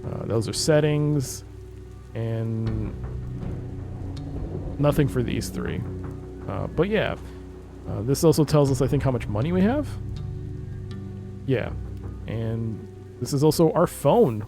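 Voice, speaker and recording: A man giving casual gaming commentary, explaining things informally and reading on-screen text aloud. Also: mid-range voice. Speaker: gaming video creator. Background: game music.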